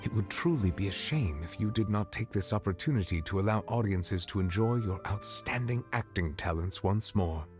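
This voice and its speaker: Actor as composed formal judge, deep low voice, measured, courteous, video game. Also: male voice, lively intonation, lightly acted. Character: stately, composed chief justice, calm and formal. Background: game music.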